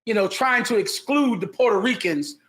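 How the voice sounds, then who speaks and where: A man's voice: high voice, lively intonation, moderate pace, a bit bright. A man co-hosting a casual commentary chat, speaking in a preachy, sermon-like way. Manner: sermon-like, religious talk.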